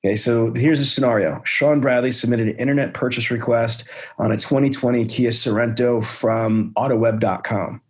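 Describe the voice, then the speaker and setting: A man's voice: medium pitch, fairly even intonation, moderate pace. A man hosting a sales podcast, talking with energy and enthusiasm, praising and setting up role plays. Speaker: podcast host, sales trainer.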